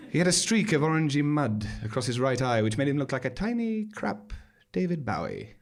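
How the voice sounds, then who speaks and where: A man's voice: mid-range voice, big pitch swings, a little fast. A man performing a scripted first-person story on stage in an arch, droll, dramatic manner. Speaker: monologue performer. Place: small theatre stage, live performance.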